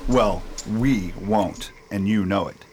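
An actor playing a brisk, optimistic leader of space colonists in a radio drama. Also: male voice, fairly low pitch. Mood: firm, concerned. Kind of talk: science fiction radio drama acting.

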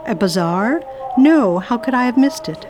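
A woman's voice: fairly high pitch, lively intonation, normal speed, music in the background, close to an everyday voice. A woman playing an imperious, curious English lady traveller in an audio drama, speaking in a formal, old-fashioned way. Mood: genteel, curious. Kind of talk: audio drama acting and diary narration.

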